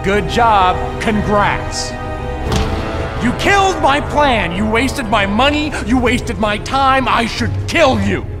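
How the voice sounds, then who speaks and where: A man's voice: very high-pitched, expressive, slow, music in the background, exaggerated voice. An actor playing a sardonic, threatening male villain in a sci-fi motion comic, with a mocking drawl. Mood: bitter, threatening.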